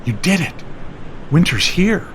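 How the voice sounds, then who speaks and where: A man's voice: high voice, sing-song, measured pace, a bit bright, a little hiss or hum, voice pushed up, exaggerated voice. A man voicing a young woman, an anxious and supportive friend, with urgent, caring emphasis in a radio drama. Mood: excited. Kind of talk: old-time radio drama acting. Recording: music behind the voice.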